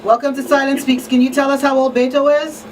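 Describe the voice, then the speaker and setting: A woman hosts a live radio talk show in a fairly high voice. She chats with listeners from a small studio. The sound is slightly distant, with a light layer of background noise. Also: level tone, fast talker, a little mellow. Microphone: studio microphone on boom arm. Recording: off-mic room sound, faint noise.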